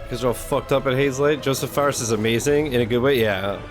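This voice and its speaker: A man talks casually as a streamer reacting to a game, with a medium-pitched voice. He is irreverent and jokey and swears freely. Faint music plays behind him. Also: quick pace. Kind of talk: casual chat. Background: video game cutscene audio and music.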